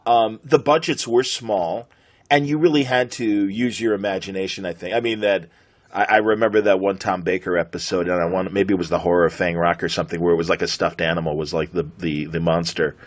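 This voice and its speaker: A man's voice: low voice, fairly even intonation, fairly quick. A middle-aged man with an American accent chatting casually as an interviewer, enthusiastic and given to rambling reminiscence. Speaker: podcast host interviewer.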